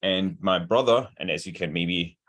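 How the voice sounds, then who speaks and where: A man's voice: low-pitched, normal speed, fairly bright tone. A man with an Austrian accent, a cancer researcher giving an earnest webinar talk over a video call, often repeating words as he searches for them.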